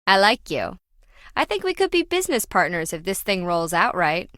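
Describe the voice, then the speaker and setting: Brash female treasure hunter for a video game: bright forceful voice, sardonic and flippant, very dry close sound. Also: fairly low pitch, sing-song, moderate pace, no room sound. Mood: sly, teasing. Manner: wry, breezy, slangy teasing.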